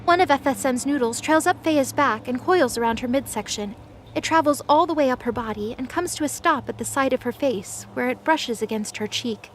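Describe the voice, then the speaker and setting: A woman's voice: high voice, a little fast, slight background noise. A woman reading scripted narration as the narrator of a fantasy audio drama.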